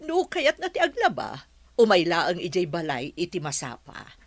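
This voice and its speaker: A woman playing a brisk village schoolteacher in an Ilocano radio drama, with a Filipino accent. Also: on the low side, huge pitch swings, dry recording. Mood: businesslike, inquisitive.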